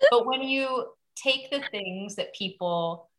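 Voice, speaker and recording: A woman's voice: on the high side, slow, rough recording. A woman in her thirties, a guest actor on a podcast, telling stories in casual chat, acting out quotes and laughing at herself. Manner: animated storyteller who acts out quotes and laughs at herself.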